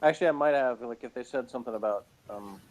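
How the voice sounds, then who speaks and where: A man's voice: medium pitch, fast talker, darkish tone, highs cut off, slight background noise. A man co-hosting a review show in casual chat, with a flippant, joking way of talking.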